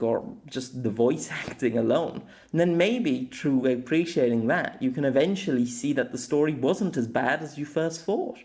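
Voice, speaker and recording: This is a narrator delivering a scripted audio essay. The delivery is reflective and articulate, with intonation that moves expressively up and down around a medium pitch. Soft guitar music plays behind the voice.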